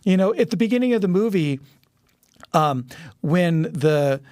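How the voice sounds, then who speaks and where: A man's voice: high voice, expressive, a little slow, darkish tone, clear, resonant tone, no room sound, studio quality. A man talking conversationally as a podcast guest, telling things like a story and acting out quotes. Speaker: podcast guest, audio drama producer. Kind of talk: conversational film discussion.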